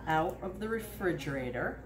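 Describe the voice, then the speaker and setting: A woman in her sixties talks through a home cooking demonstration in a loose, rambling way, breaking into little laughs. Her voice sits on the low side. The phone recording carries the echo of a hard-walled kitchen and a steady layer of background noise. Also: normal speed. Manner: chatty, rambling, laughs often. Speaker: home cooking video host.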